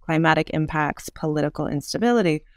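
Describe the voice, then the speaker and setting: A woman speaking as an interview guest, explaining things in an articulate, measured way. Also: deep voice, no echo, clean studio sound. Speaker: podcast guest, urban designer.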